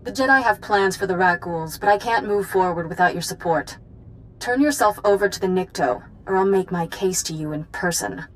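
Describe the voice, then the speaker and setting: An actress playing a threatening, commanding villain in a video game, delivering ultimatums. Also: female voice, mid-range voice, normal speed, slight background noise, natural voice, low-quality recording. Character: threatening female fallen Jedi giving an ultimatum.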